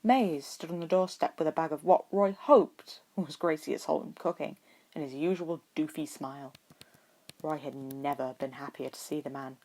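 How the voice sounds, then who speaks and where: A woman with a low-pitched voice narrates fiction from a script. She reads as an amateur audiobook narrator, telling the story in prose. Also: moderate pace, darkish tone.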